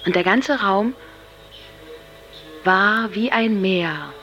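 Dazed young girl in radio drama, awed, very slow, keeps breaking off, dull lo-fi sound. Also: medium pitch, a bit bright. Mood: groping, awed. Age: young adult. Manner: halting, searching, breaks off sentences. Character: awestruck young woman groping for words after an LSD trip. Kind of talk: naturalistic radio drama dialogue. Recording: dull lo-fi tape, music underneath.

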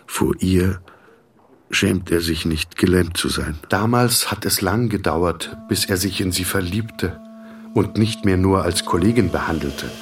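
An actor playing a sober, detached storyteller, narrating a literary radio drama in a measured manner. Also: male voice, sits low.

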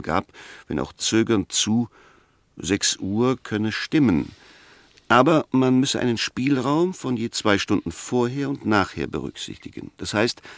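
Measured, low-voiced defence lawyer. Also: male voice, dry recording. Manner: measured, argumentative, precise, pointed. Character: sceptical defence lawyer, methodical, firm, gently ironic. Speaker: defence counsel. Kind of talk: 1960s German radio play acting.

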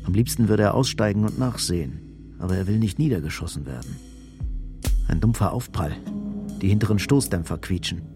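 A male narrator reads a scripted radio drama. His voice is on the low side, and music plays clearly behind him. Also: a little fast.

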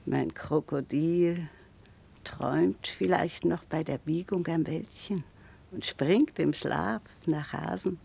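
An actress playing a wistful boat-rental woman in a lyrical radio drama, speaking in dreamy, measured phrases. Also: sits low, creaky, warm tone, slightly rough, heavily acted. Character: dreamy, wistful woman who rents out boats.

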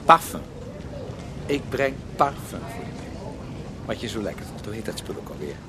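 Low-voiced, detached husband in heavy noise. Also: male voice, sing-song, normal speed. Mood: brisk, detached. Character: weary, distant husband who travels away, curtly dutiful. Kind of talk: radio play acting. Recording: very noisy, distant, vehicle-like background rumble.

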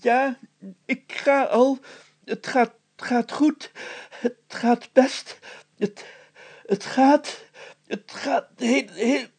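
A man voicing a fussy, bumbling older mayor in a children's story, flustered and self-pitying, hemming, hawing and clearing his throat. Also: very high voice, drawn-out words, long pauses, darkish tone, dry recording, voice pushed up, exaggerated voice. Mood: straining, puffing.

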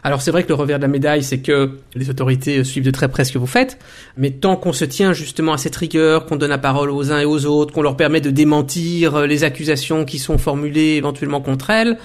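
A man in his forties, a documentary maker and former journalist, telling his story in a radio interview with thoughtful pauses and restarts. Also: a little high, fairly even intonation. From Belgium. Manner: thoughtful, many hesitations and restarts.

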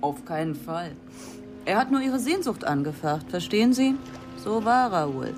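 A cool married woman in a radio drama. She speaks at a medium pitch in guarded, dismissive, clipped phrases, with music clearly behind her. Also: sing-song, measured pace. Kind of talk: German radio drama acting.

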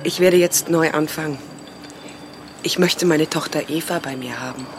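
A woman's voice: sits low, normal speed, close to an everyday voice. An actress in a German radio play voicing a middle-aged survivor mother who pleads with her estranged daughter. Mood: grave, resolute. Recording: music behind the voice. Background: music.

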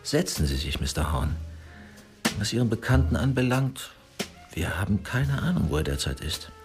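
Gravelly, coolly menacing crime go-between. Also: male voice, medium pitch. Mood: cold courtesy. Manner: formal, measured, icily polite. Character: coolly courteous, menacing criminal go-between in a trenchcoat. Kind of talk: radio crime drama acting.

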